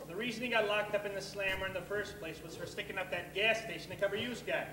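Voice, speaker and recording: A man on stage playing a laconic, evasive blues musician, the deadpan sidekick in a comedy. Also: sits high, fast, lightly acted. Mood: matter-of-fact. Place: theater stage, recorded from the audience. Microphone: far camera. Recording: echoey theater hall, distant noisy camera mic.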